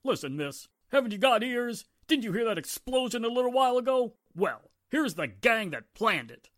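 An actress playing a blustering, indignant small-town mob spokesperson in a 1940s radio drama, with an accusing manner. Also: female voice, on the high side, big pitch swings, moderate pace, no echo, clean studio sound.

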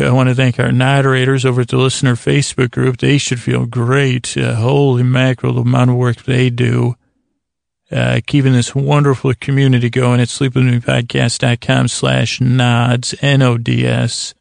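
A man hosting a bedtime podcast in a halting, meandering monologue, repeating himself, with a gravelly voice close to the microphone. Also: medium pitch, no room sound. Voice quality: gravelly, creaky. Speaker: podcast host. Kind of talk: rambling bedtime monologue to help listeners sleep.

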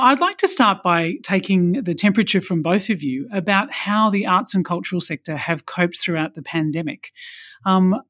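A woman's voice: on the low side, no echo. Woman moderating, close dry sound. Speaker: panel host. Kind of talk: formal panel moderation.

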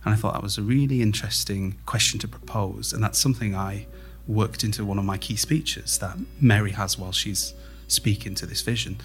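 A man's voice: fairly low pitch, steady tone, brisk, soft background music. A man speaking as an interviewed playwright, explaining his thinking in a reflective, conversational way.

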